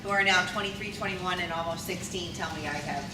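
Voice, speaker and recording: A middle-aged woman speaks to a conference audience in a loud, friendly teacher voice, often pausing on ums and asking the room questions. She is picked up from a distance in a big, very echoey hall with clear background noise. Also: lowish voice. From Maryland.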